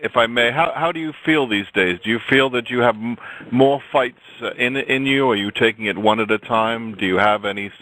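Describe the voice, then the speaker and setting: A man's voice: medium pitch, fairly quick, pushed and bright, rough recording. A man working as a sports reporter, politely asking questions on a press call and rephrasing them midway. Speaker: wire-service sports reporter. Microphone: telephone.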